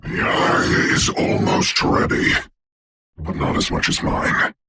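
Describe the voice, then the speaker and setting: A man playing a grave, commanding dark sorcerer for a video game, with a breathy rasp, formal grandiose diction and eerie distorted processing. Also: takes it slowly, background noise. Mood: grim, imperious. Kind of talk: video game hero voice lines.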